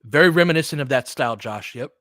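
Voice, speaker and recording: Man, medium pitch, dry sound, casual play-by-play, clipped phrasing, conversational asides, partisan fan commentary. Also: animated, fast talker, fairly bright tone, no echo. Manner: partisan fan talk, says "we" of the team.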